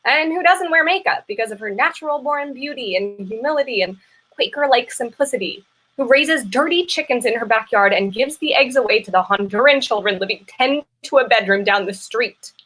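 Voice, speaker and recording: Woman doing guilty mistress in a comedy play, highish sharp voice, super earnest n dramatic. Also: lively intonation, a little fast, poor audio. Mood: agitated, self-critical. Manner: earnest, emphatic, self-dramatizing confessional delivery. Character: guilt-ridden, dramatic mistress craving judgment. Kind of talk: live play reading, comedic stage acting.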